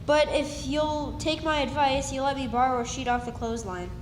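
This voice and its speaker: A woman's voice: high voice, steady tone, normal speed, a little hiss or hum, close to an everyday voice. A woman playing an earnest, scheming runaway country boy in a radio play, with folksy speech. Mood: eager, scheming. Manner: folksy, eager. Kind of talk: stage radio drama acting. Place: live stage in a hall. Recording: reverberant hall recording.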